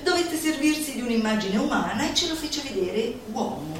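A woman's voice: sits high, very animated, heavy background noise. Theatrical woman reciting in a very echoey space. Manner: declamatory, playful, dramatic pauses. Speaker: solo stage performer. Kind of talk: theatrical recitation of a manifesto with audience asides. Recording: very echoey live hall, distant mic, music behind.

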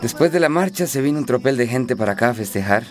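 A friendly, lovestruck man played by an actor in a radio play, speaking earnestly in a medium-pitched voice. His tone is familiar and a little shy, over faint music. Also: lively intonation, fast talker, lightly acted. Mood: relieved, friendly. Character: friendly, shyly lovestruck male bar worker. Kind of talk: radio drama acting.

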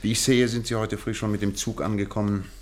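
A man's voice: on the low side, flat delivery, fast talker, natural voice. A man acting a courteous, observant, self-assured detective in a German radio play, speaking formally and precisely.

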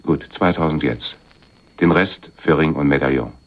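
A shrewd, moneyed man of power with an extremely low voice. He speaks with suave, patronizing calm in a crime radio play, and the recording is slightly muffled. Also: normal speed. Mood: hard bargaining. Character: shrewd, wealthy, condescending male power broker in a crime play.

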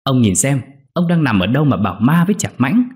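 A man's voice: mid-range voice, very animated, moderate pace, warm tone, voice pushed up, lightly acted. A man voicing an older village wife who nags but cares, in an audio story. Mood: baffled scolding.

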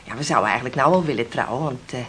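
A woman's voice: extremely low pitch, expressive, rapid-fire, fairly bright tone, slight background noise. An actress playing a chatty, frank young woman engaged to be married, in a Dutch radio play.

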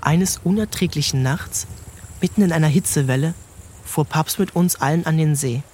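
A man narrating a literary audiobook in a calm, measured storytelling voice. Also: on the high side, a little hiss or hum.

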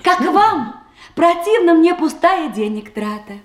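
A spirited young bride in a stage-style radio comedy. Her high voice swoops and lilts as she pleads her case in playful, coy verse, and the room rings lightly around her. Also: very animated, a little slow, full character voice. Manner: declamatory, playful, eager to please. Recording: roomy archive radio play recording.

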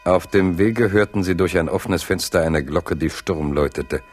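A man narrating a historical radio play as a grave storyteller, in a solemn, measured, literary manner. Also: deep voice, soft background music. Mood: grave, suspenseful. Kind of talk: dramatic scripted narration for German radio play.

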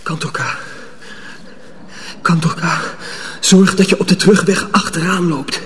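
A man's voice: high-pitched, a little slow, a bit bright, a little hiss or hum. An actor playing an earnest, secretive young mill apprentice in a radio drama, protective of his younger comrade. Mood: earnest, pleading.

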